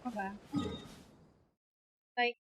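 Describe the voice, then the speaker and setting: A woman's voice: slow, noisy background. A woman with a Filipino accent giving casual live gameplay commentary, exclaiming and thinking aloud as she mixes Tagalog and English. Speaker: game streamer. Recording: game music behind the voice. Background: video game music.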